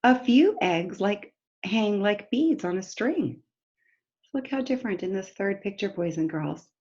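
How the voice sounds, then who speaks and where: A woman reading a picture book aloud to young children like a teacher, pausing to explain simply and ask them questions directly. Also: mid-range voice, lively intonation, a little mellow, dull audio. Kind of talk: read-aloud story time lesson for young children, with explanatory asides.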